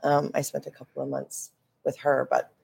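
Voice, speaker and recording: A woman's voice: rumbling low, little pitch movement, moderate pace, gravelly, a little mellow, slightly rough. A woman from Minnesota in her forties, a podcast guest who speaks frankly and openly and often laughs lightly between remarks. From Minnesota, USA. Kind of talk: casual interview conversation.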